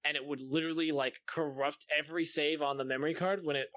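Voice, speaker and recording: A man with a fairly high, bright and forward voice, chatting as a podcast co-host. He tells things in slangy, exclamatory bursts with casual swearing. The sound is close and dry. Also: fairly even intonation, fast, no echo. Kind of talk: casual chat, animated storytelling.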